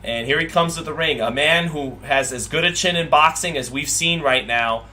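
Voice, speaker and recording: A man's voice: a little high, normal speed, sharp tone. A man hosting a live boxing watch party, reading viewer comments aloud and rambling, with frequent repeats and restarts.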